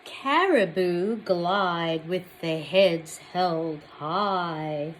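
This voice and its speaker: An Australian woman reading a picture book aloud to young children, expressively, pausing to put questions to them. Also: fairly low pitch, a little hiss or hum. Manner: addresses young children directly, expressive storytelling.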